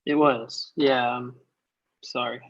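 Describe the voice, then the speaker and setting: Muffled comedy read: man as sheepish young guy, halting and slow. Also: medium pitch, steady tone, fairly bright tone. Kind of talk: comedy script table read.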